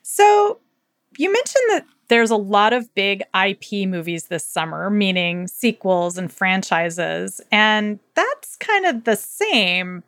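A woman's voice: fairly high pitch, fairly bright tone, no echo, studio quality. A woman co-hosting a podcast, chatting casually and effusively in colloquial, slangy language as she recommends books. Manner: enthusiastic, effusive. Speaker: podcast co-host. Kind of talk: casual book recommendation chat.

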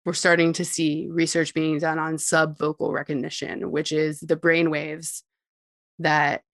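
A woman's voice: low-pitched, little pitch movement, unhurried, fairly bright tone, no room sound, studio quality. A woman guest giving long explanatory interview answers about technology, often with a light laugh.

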